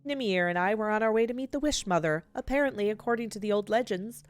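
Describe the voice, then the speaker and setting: A woman's voice: mid-range voice, expressive, fast, soft background music, close to an everyday voice. A woman voicing a vain, dismissive, skinless immortal villain who recounts memories in a visual novel game. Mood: smug, wistful. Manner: dismissive, grumbling. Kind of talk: reading visual novel dialogue in character.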